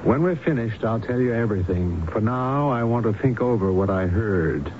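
Calm, probing physician played by a man, reassuring and deliberate, vintage radio drama sound with clear background noise. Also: fairly low pitch, lightly acted. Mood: measured, serious. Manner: measured, reassuring, questioning. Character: male doctor and hypnotist, calm, probing, thoughtful. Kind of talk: 1970s radio drama acting. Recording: dull noisy old radio broadcast recording.

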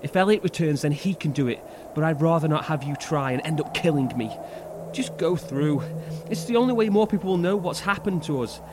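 An actor playing an ailing, desperate husband and father in a horror audio drama, speaking tenderly and urgently in halting phrases. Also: on the high side, expressive, background noise, natural voice.

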